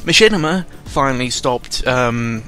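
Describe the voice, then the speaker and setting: A young man giving casual, unscripted commentary in a rambling, halting way, often interrupting himself, with a sarcastic, self-deprecating and exasperated manner. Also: medium pitch, expressive, bright, forceful tone. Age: young adult. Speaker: YouTuber recording an audio log. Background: background music.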